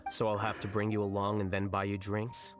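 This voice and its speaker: Guy voicing cool smug scholar roommate, anime game, low voice, dry and condescending, faint music. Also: a little fast, no room sound, lightly acted. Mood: coolly teasing. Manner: dry, deadpan, condescending teasing. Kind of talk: anime-style video game voice acting. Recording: game dialogue with music behind.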